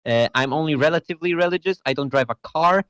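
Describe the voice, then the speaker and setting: A man's voice: fairly high pitch, fast talker, fairly bright tone, clear, resonant tone, dry recording, clean studio sound. A young man whose first language is Polish, running a tabletop game on a voice call, hesitant and self-deprecating, often checking in with "right?". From Poland. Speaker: game master. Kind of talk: casual online tabletop game chat.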